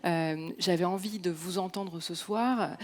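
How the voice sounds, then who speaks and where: Host: woman, fairly low pitch, hesitant interviewer, lots of fillers. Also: unhurried, a little mellow. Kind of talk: live event interview. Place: hall at a public evening event.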